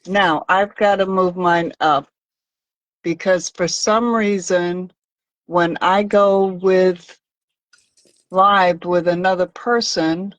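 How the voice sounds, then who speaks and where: Slow, chatty woman hosting a craft stream. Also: lowish voice, fairly even intonation, dry recording, lo-fi sound. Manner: chatty, reads out viewers' locations, halting with fillers. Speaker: host of a live craft stream. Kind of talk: casual live-stream chat.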